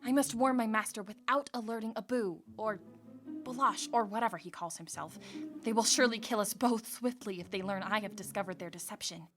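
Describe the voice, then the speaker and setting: A woman's voice: mid-range voice, fairly quick, over music, lightly acted. An actress playing a shrewd, loyal female slave in a radio drama, speaking formally and watchfully. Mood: urgent. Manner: formal, deferential.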